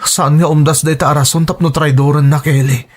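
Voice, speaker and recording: A man's voice: a little high, flat delivery, fairly quick, lightly acted. A man playing a hurt, indignant young son who feels betrayed, in melodramatic audio drama style. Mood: accusing. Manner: emotional, reproachful.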